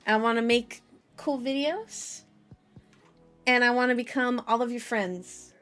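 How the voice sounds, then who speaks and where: Female VTuber host, highish voice, playful and childlike, casual stream chatter with light music underneath. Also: fairly even intonation, a little slow, a bit bright. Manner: playful, childlike phrasing, repeats herself. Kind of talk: casual livestream chat. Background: background music.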